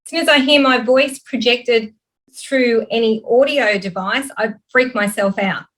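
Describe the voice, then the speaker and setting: A woman's voice: fairly high pitch, a little slow, a bit bright, poor audio. A woman from Europe sharing candidly as a participant in an online workshop over a video call. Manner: candid, self-reflective.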